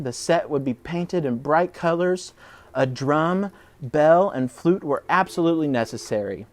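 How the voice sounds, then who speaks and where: Animated man reading a children's storybook. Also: on the high side. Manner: expressive, dramatic phrasing. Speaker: preschool storybook reader.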